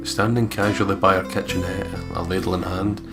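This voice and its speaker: A man with a low voice co-hosts a horror game playthrough. He reads story narration aloud and chats in a joking, sweary way. Guitar music plays clearly underneath. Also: fairly even intonation, brisk. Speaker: let's play co-host and narrator. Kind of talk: casual banter and read-aloud visual novel narration.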